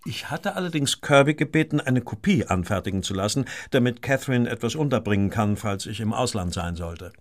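An actor playing an agitated, well-to-do married gentleman in a German radio drama, speaking formally and fretfully. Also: mid-range voice, lively intonation, a little fast, hardly any pauses, lightly acted. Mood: tense, defensive.

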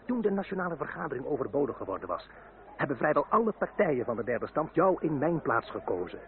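An actor playing a concerned, reasoning politician friend in revolutionary France, confiding and expressive, in a Dutch radio drama. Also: male voice, on the high side, fast talker. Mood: reassuring. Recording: tinny narrow old radio recording.

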